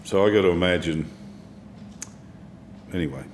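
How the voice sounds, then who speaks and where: Low-voiced, weary man. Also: moderate pace, slight background noise. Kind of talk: parliamentary remarks. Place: committee hearing room.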